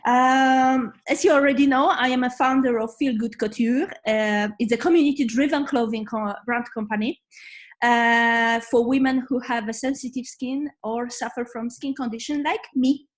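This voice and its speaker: Polish-accented woman, higher voice. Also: fairly even intonation, moderate pace. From Poland. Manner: many fillers and restarts, laughs easily, warm and earnest. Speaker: guest. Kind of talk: casual interview conversation.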